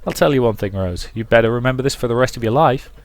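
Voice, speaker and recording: A man's voice: mid-range voice, fast, no room sound, natural voice. A man voicing a playful, lovestruck young man who woos earnestly in a visual novel reading. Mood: ardent. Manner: earnest, teasing, wooing. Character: eager, playful lovestruck young man courting a shy girl.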